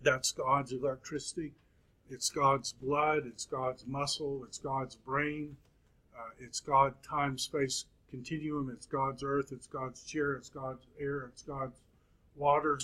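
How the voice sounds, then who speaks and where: A man in his fifties teaching a Bible class, speaking slowly with frequent pauses and fillers. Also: medium pitch, flat delivery, pushed and bright, poor audio. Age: 50s. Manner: slow, halting, many fillers and pauses. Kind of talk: classroom lecture.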